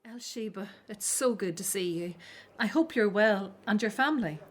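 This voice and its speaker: An actress playing a caring, concerned Hebrew older sister in a religious radio drama. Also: female voice, medium pitch, moderate pace, lightly acted. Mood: sympathetic, worried.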